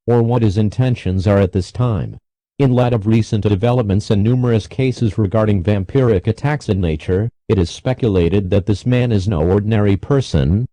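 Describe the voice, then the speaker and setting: Low, dark-toned male emergency announcer. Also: no echo. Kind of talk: scripted emergency broadcast narration.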